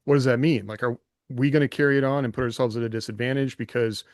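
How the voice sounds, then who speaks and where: Quick-paced man, podcast co-host, casual discussion, rhetorical questions, close dry sound. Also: medium pitch, steady tone, a little mellow, no echo. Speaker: podcast host, former soldier.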